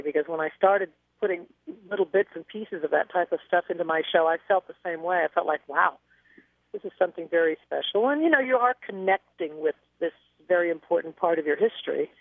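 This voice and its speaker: A woman's voice: fairly low pitch, no room sound. A woman singer telling stories as a guest in a radio interview, chatty and reflective, heard over a phone line.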